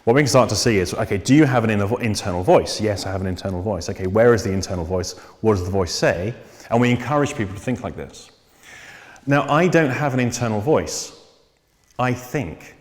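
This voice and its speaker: A man teaching about psychotherapy in a measured, thoughtful way, pausing often with ums and restarting his sentences. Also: lowish voice. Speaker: psychotherapy trainer. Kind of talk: unscripted lecture on therapy. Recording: long reverb.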